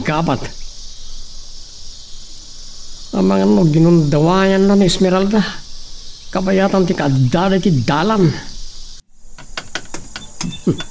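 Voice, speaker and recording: An actor playing a vengeful, scheming villain who broods aloud in a melodramatic radio drama. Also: male voice, sits high, gravelly, darkish tone, slight background noise, exaggerated voice. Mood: muttering, watchful. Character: scheming, vengeful male villain plotting murder and takeover.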